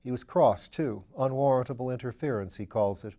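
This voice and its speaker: A level-headed young detective, played by a male reader, with a mellow, mid-pitched voice. He talks in a matter-of-fact, conversational way, understated, as an audiobook character. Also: fairly quick, natural voice.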